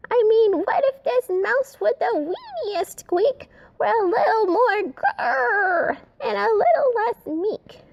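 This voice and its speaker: A woman voicing a tiny, meek male mouse in a children's storybook, squeaky, earnest and polite. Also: animated, slow, creaky, lo-fi sound, full character voice. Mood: excited, determined. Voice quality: squeaky, small. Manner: earnest, peeping.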